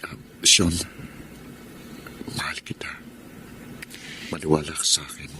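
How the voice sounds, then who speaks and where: A man's voice: extremely low pitch, level tone, measured pace, bright, forceful tone, in a whisper, exaggerated voice. An actor with a Filipino accent playing an earnest, humble working-class man courting his sweetheart in a radio drama. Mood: earnest, pleading.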